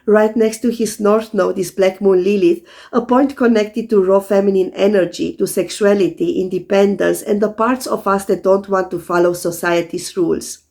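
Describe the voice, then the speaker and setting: A hoarse woman, an astrologer, calmly explaining in scripted video narration while recovering from a sore throat. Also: mid-range voice, moderate pace, few pauses. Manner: calm, methodical explaining to viewers.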